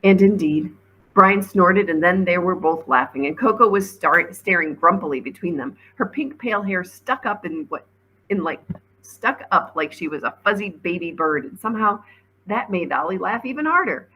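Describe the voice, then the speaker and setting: A woman's voice: on the low side, expressive, normal speed, bright, forceful tone, husky, poor audio. A woman, a teacher, reading a children's novel aloud as narrator. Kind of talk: storybook read-aloud narration.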